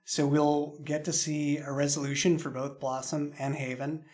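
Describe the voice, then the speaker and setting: A man talking casually as a show creator on a podcast, halting as he thinks aloud. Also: a little high, little pitch movement, a little mellow. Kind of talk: casual chat in a behind-the-scenes podcast.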